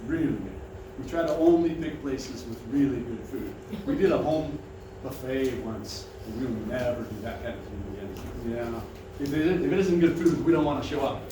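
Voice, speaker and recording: A man with a big, carrying voice talks to a room of attendees in a friendly, easygoing way and keeps inviting their questions. He is picked up from well back in a roomy, echoing dining room. Steady background noise hangs under his speech. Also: mid-range voice, moderate pace. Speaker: financial seminar presenter. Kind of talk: presentation to a seated audience. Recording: echoey room, mic far off, noisy.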